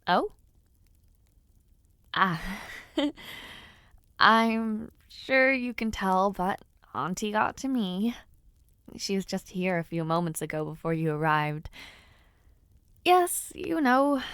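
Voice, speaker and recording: A woman's voice: medium pitch, no echo. A woman voicing a young noblewoman in an audio roleplay, playful and teasing, sometimes flustered while giving compliments. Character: teasing young noblewoman before her debut. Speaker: voice actor.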